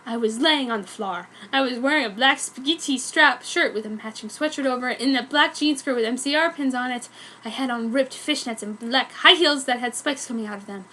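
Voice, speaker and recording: High-voiced woman reading fan fiction dramatically. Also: brisk, bright, forceful tone, a little hiss or hum. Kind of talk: dramatic comic narration.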